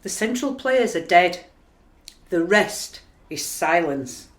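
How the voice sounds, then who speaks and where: A woman's voice: lowish voice, lively intonation, slow. A woman playing a dismissive, sardonic sceptic in a radio play, pointed and needling. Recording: echoing room, faint music underneath.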